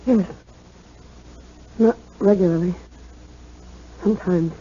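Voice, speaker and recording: An actress playing a high-strung, melancholy young wife in a radio drama, nervous, hesitant and plaintive. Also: female voice, slow pace, dark tone, slightly rough. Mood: despondent. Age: young adult. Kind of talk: 1970s radio drama acting. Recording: muffled, hissy 1970s radio recording.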